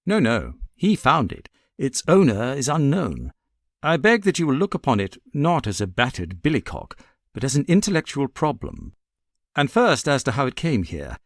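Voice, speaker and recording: A British man voicing a brilliant, self-assured gentleman detective in an audiobook, precise and theatrical as he sets things out. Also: mid-range voice, wildly swooping pitch, moderate pace, dry recording, studio quality. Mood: brisk, expository. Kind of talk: audiobook character reading.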